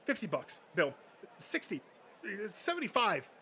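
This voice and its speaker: A man's voice: high-pitched, huge pitch swings, measured pace, sharp tone, voice pushed up, heavily acted. A man voicing an obsessive, desperate toy-collecting nerd in a comic dub, pleading dramatically over slight recording noise. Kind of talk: comic dub character acting.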